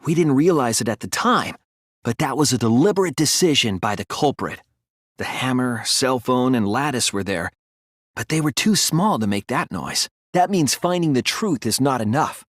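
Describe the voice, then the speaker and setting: A man voicing an earnest young male detective in a video game, thoughtful and anguished but resolute, with deliberate, analytical phrasing. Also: mid-range voice, expressive, fairly bright tone, dry recording, studio quality. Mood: analytical, serious. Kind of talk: dramatic video game voice acting, deductive explanation.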